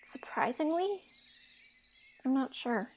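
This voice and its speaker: Young fairytale heroine female actor, high voice, outspoken and emphatic, amateur radio-play delivery. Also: lively intonation, takes it slowly, darkish tone, slight background noise. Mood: incredulous, indignant. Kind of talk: amateur audio drama acting.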